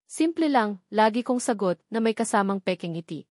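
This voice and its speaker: A woman's voice: mid-range voice, expressive, normal speed, a little mellow, no echo, clean studio sound. A woman narrating a scripted first-person love drama as a storyteller.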